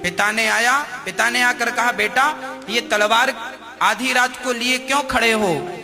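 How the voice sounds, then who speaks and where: A man's voice: very high-pitched, unhurried. A man telling a moral story in a conversational, preacher-like way, acting out the dialogue as he goes. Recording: long hall reverb, music underneath. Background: music.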